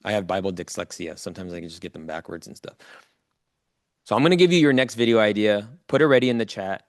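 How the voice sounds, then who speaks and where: A man's voice: fairly low pitch, animated, fast talker, dry recording, clean studio sound. A man giving a motivational coaching talk to a live online audience, speaking informally and directly to listeners and repeating key phrases for emphasis.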